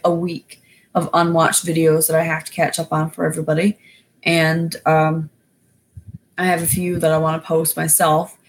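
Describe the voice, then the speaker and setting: Raspy lady rambling on her live stream, kinda low voice, ums everywhere. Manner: rambling, loose, lots of fillers. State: winded after exercising. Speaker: YouTuber going live. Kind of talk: casual livestream chat.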